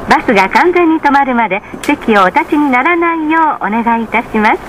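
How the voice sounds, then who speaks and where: A woman's voice: high voice, animated, brisk, fairly bright tone, poor audio. A woman reading scripted bus announcements in a formal, polite manner. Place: recorded inside a city bus, engine and road noise behind. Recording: heavy vehicle noise, recorded on a bus.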